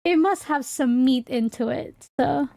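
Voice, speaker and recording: A woman hosting a casual livestream in a high voice. She talks slowly and hesitantly, thinking out loud as she goes. The sound is dry, with no room echo. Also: gravelly. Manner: hesitant, rambling, thinking aloud. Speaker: livestreamer and VTuber host.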